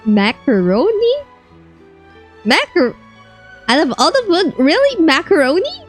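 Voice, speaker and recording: A woman's voice: very high-pitched, sing-song, unhurried, soft background music. A woman giving casual, blunt and slangy reaction commentary as she plays a video game. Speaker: streamer playing a video game.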